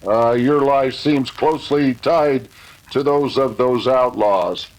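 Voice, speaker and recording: An actor playing a commanding, steady masked western hero with a formal manner, in 1930s radio drama style. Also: male voice, mid-range voice, lightly acted, low-quality recording. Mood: calm, commanding. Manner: formal, deliberate.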